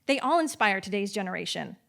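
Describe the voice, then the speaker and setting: A woman's voice: medium pitch, sing-song, brisk, vocal fry, fairly bright tone. A woman playing an earnest, persistent medieval mother who homeschools her son, coaxing and instructive, in a live stage audio play. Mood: exasperated, persistent.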